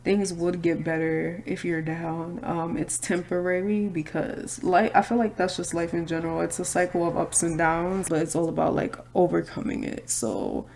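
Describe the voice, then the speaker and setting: A woman's voice: on the low side, little pitch movement, moderate pace, vocal fry, few pauses, darkish tone, slight background noise. A woman, chatting casually and candidly while doing her makeup. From Brooklyn, New York. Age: 20s. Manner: chatty, candid, slangy asides. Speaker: vlogger.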